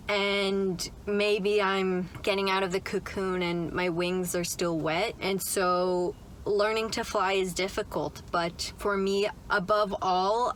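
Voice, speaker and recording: A woman's voice: mid-range voice, slight background noise, poor audio. Chatty young woman talking in a car. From Canada. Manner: chatty, upbeat, full of "like" and "right?". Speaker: vlogger. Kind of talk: casual chat to camera. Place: inside a parked car.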